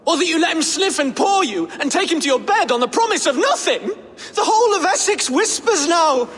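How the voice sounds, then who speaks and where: A man's voice: very high-pitched, sing-song, moderate pace, fairly bright tone, exaggerated voice. A young man playing a bitter, insolent Saxon lord, sneering and accusatory, in a video game cutscene with hall reverb. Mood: outraged, wounded. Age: young adult. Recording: reverberant hall, background noise.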